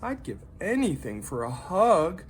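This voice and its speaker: A reader voicing a lonely, yearning boy in a children's storybook, plaintive and expressive. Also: mid-range voice, unhurried, slight background noise, pitched above the performer's own voice. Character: lonely, yearning boy who burns like fire.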